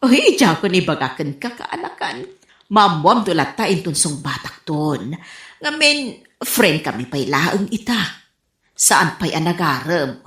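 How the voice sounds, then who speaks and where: An actress playing a coy, lovestruck middle-aged auntie in a comedic radio drama, with giggly, drawn-out delivery. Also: female voice, on the low side, sing-song, fairly bright tone, echoey room.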